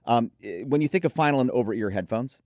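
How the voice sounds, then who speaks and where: A man's voice: medium pitch, animated, fast talker, darkish tone, no room sound, studio quality. A man hosting a conversational product review in an enthusiastic, emphatic manner. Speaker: host and headphone reviewer.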